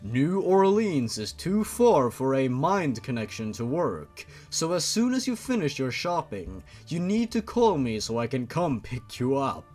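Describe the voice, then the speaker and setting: Protective tendrilled father creature, acted by a man, formal and calmly commanding, storybook reading over music. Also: medium pitch, unhurried, few pauses. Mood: calm, instructive.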